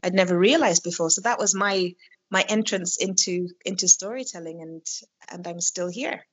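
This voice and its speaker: A woman's voice: lowish voice, moderate pace, slightly rough. Reflective storyteller woman, muffled sound. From Kenya. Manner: warm, reflective, with frequent restarts and laughs. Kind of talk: conversational interview. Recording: dull lo-fi audio.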